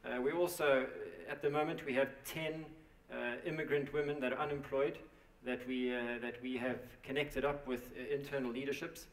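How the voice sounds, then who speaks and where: Halting man presenting in an echoey hall. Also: medium pitch, little pitch movement. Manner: halting, many fillers and restarts. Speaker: company presenter. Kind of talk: conference presentation. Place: conference hall. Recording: echoey hall, mic at a distance.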